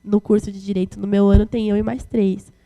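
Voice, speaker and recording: A woman's voice: mid-range voice, level tone, fairly quick, mellow, a little hiss or hum. A twenty-year-old woman, giving an informal talk as a student activist, colloquial and slangy as she answers questions. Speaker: guest speaker, student activist.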